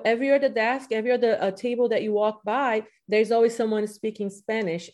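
A woman's voice: mid-range voice, mellow. A woman in her thirties, born in Brazil with Portuguese as her first language, chatting casually as a podcast guest about teaching, with lots of "you know" fillers. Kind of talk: casual interview talk.

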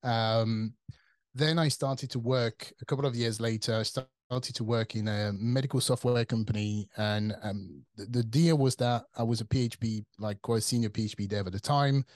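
A man's voice: mid-range voice, fairly even intonation, normal speed, a little mellow, no room sound. A man in his forties from France with a French accent, talking casually as an interview guest, thinking aloud with fillers and restarts. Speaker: guest, tech company CTO.

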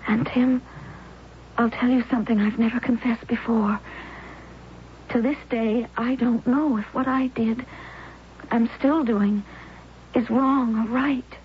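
An actress playing a slow-spoken, remorseful woman in radio drama. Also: on the high side, fairly even intonation, natural voice. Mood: anguished, confessional. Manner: confessional, halting delivery in short dramatic phrases. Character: remorseful woman in self-imposed exile, secretly alive. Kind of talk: old-time radio drama acting. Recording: muffled old radio recording, faint hiss.

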